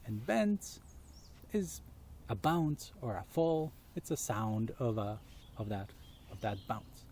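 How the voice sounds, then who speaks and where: A man narrates for children at an unhurried pace. His voice is soft-edged and mellow, and his manner is simple and inviting. He is outdoors, with faint natural ambience behind him. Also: medium pitch, animated, a little hiss or hum. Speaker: storyteller reading for children. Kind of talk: children's storytelling.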